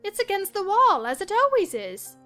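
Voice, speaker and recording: A woman playing a feverish, delirious young gentlewoman in a dramatized classic novel reading, theatrical and shifting between dreamy murmurs and anxious outbursts. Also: very high-pitched, huge pitch swings, normal speed, faint music behind, full character voice. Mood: puzzled, uneasy.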